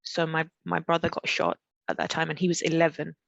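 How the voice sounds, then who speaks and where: A woman from Pakistan tells a personal story as a podcast guest. She speaks reflectively and haltingly, restarting phrases often, in a gravelly voice with little rise and fall in pitch. The recording is dull, dry and lo-fi, like a remote call mic. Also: level tone, no room sound.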